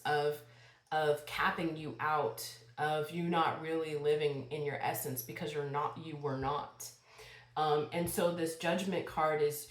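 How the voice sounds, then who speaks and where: Low-voiced woman reading tarot, echoey room. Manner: slow, spiritual, addresses viewers directly. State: slight cold, sniffling. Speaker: tarot reader.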